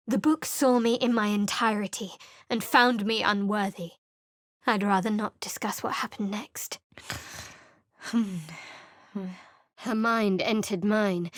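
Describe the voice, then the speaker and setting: A woman's voice: mid-range voice, no echo, natural voice. An actress voicing a guarded, sardonic young female cleric in video game voice lines, with a cool, dry manner.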